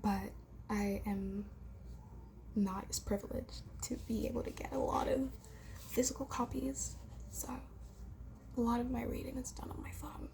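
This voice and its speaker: A woman playing a shy, bookish girl in an ASMR roleplay, soft-spoken and hesitant in a breathy whisper. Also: on the high side, unhurried, long pauses. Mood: gently engaged. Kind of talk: whispered ASMR roleplay, improvised casual chat.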